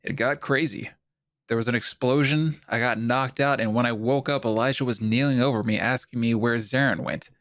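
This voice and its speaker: A man's voice: mid-range voice, steady tone, normal speed, fairly bright tone, dry recording, studio quality, natural voice. A man playing an earnest, troubled doctor in a science fiction audio drama, speaking with urgent, pleading insistence. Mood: troubled, insistent.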